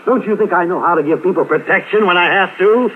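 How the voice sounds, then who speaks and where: A man's voice: sits high, quick pace, close to an everyday voice. Man acting a tough, brusque detective, tinny radio. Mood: stern, irritated. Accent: American. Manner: clipped, brusque, authoritative. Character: tough, commanding police detective, friend of the husband. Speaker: radio drama actor. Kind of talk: 1950s radio crime drama acting. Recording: tinny, noisy old radio recording.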